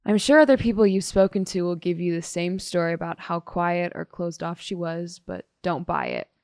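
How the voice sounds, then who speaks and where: Sardonic, curt high school girl, lowish voice, dry close sound, audio drama interview. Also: big pitch swings, moderate pace, a little mellow, no echo. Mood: blunt, resentful. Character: bitter, blunt high school girl, estranged friend of missing girl. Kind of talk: naturalistic audio drama police testimony.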